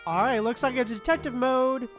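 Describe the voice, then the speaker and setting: A woman's voice: high voice, normal speed, soft background music. A woman streaming a game with casual, musing, sweary commentary, reading game text aloud.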